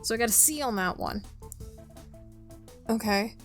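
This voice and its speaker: A woman's voice: fairly high pitch, measured pace. Chatty gamer lady, playful, talking thru a game close on mic, bit of music under her. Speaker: gaming video host. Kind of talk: casual playthrough commentary, reading game text aloud. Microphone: close microphone without pop filter. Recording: music behind the voice.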